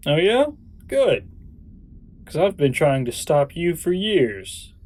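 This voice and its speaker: An actor in an audio drama playing a defiant hero with a sarcastic, incredulous manner. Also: male voice, a little high, very animated, slow pace, darkish tone, full character voice.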